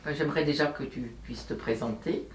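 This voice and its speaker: A woman's voice: very deep voice, a little fast. A woman interviewing someone informally, hesitating and rephrasing as she goes, recorded at a distance in a roomy space with faint noise.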